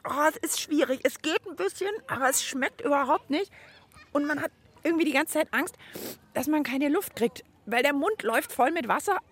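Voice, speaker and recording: A woman hosting a children's radio show, chatting in a playful, expressive way. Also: high-pitched, moderate pace. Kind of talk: lively children's show chat.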